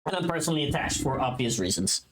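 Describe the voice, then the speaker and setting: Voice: medium pitch, lively intonation, fast, rough recording. A livestream host chatting casually while working, informal and sweary, often thinking aloud.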